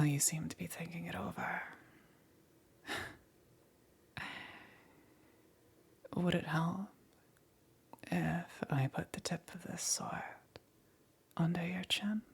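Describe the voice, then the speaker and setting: A woman playing a smug, teasing demon queen in an ASMR roleplay, with a breathy, whispery voice and a taunting, seductive menace. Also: fairly low pitch, little pitch movement, exaggerated voice. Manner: slow, taunting, punctuated by soft chuckles.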